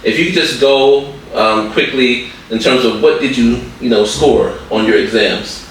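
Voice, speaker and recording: A man's voice: mid-range voice, unhurried. A man hosting a school podcast in a casual, joking way, full of fillers and asides. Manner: chatty, joking, lots of fillers. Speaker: podcast host. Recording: echoey room, distant mic, faint noise.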